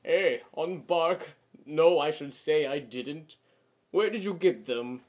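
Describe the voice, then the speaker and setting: A man playing a stern but kindly reverend father with a husky voice, in an old-time radio drama style. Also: on the high side, slow, a little mellow. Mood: modest, dismissive.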